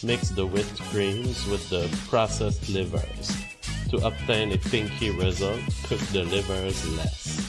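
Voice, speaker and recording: A man's voice: deep voice, slow. A man giving plain step-by-step instructions in a cooking video. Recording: clip played with music behind.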